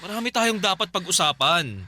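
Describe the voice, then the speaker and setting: A man's voice: high-pitched, lively intonation, moderate pace, pushed and bright, exaggerated voice. A man playing an obsessive, desperate spurned ex-boyfriend in a Filipino radio drama, whining and insistent as he pleads and threatens. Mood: pleading, desperate. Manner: whining, insistent, stammering repeated pleas. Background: music.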